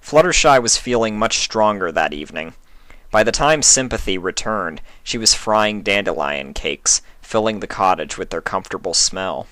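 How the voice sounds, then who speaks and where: A man's voice: fairly low pitch, expressive, normal speed, a bit bright, no room sound. A man reading scripted fan fiction aloud as a story narrator, close to the microphone.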